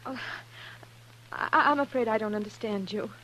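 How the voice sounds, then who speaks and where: A woman's voice: mid-range voice, normal speed, fairly bright tone, lightly acted. An actress playing an earnest, anxious, sheltered young woman in a 1950s radio western, polite and given to exclaiming. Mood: puzzled, disappointed. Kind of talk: 1950s radio drama acting. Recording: humming, noisy 1950s radio recording.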